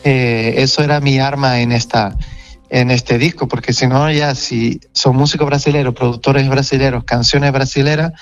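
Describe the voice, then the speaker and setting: A man from Portugal with a Portuguese accent, speaking as a guest musician in a relaxed interview, talking frankly and reflectively. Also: mid-range voice, moderate pace, dull audio, faint music behind. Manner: frank, reflective, self-correcting. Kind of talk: casual interview chat.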